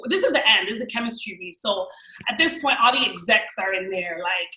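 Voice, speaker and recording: A young woman with a New York accent, telling stories as a podcast guest in an animated, slangy way. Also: medium pitch, fairly quick, echoey room, poor audio. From New York, raised in Miami. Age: young adult. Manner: animated, slangy, quotes people in voices. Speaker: guest actress. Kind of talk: casual storytelling chat.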